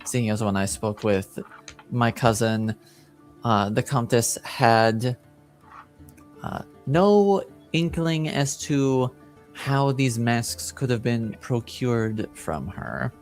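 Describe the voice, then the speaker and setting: A man's voice: medium pitch, slow, faint music behind. A man playing a composed, weary Duke in a tabletop game, speaking in courteous, formal phrases. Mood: measured, thoughtful.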